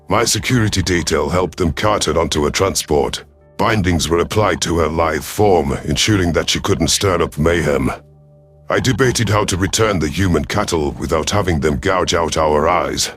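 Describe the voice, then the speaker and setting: A man with a deep, low-pitched voice narrates science fiction. He reads a scripted first-person story as an audio drama narrator. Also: normal speed, fairly bright tone, soft background music.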